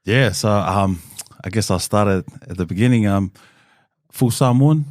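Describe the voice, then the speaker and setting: A man, a podcast guest telling his life story in a relaxed, open way with plenty of "um" and "kinda". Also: on the low side, a little slow, no echo, clean studio sound. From New Zealand. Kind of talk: candid conversational storytelling.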